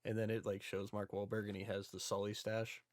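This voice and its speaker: A man's voice: lowish voice, little pitch movement, a little fast, no room sound. A man chatting casually and informally as a podcast co-host. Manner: informal, self-described history nerd. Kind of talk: casual chat about video game movies.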